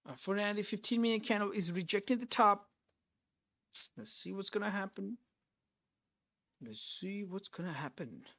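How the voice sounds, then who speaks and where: A man's voice: sits high, moderate pace, pauses often, fairly bright tone. A young man from Nepal, hosting a live trading stream and talking through his trades while answering chat, often repeating and restarting phrases as he thinks aloud.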